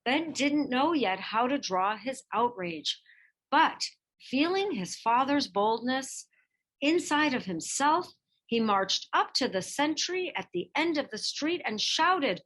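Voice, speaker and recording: A woman narrates a children's storybook in a fairly high voice. Her delivery is slow and measured, and her pitch moves widely as she stresses key phrases. It is expressive, scripted read-aloud narration. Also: poor audio. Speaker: reader of a children's picture book biography.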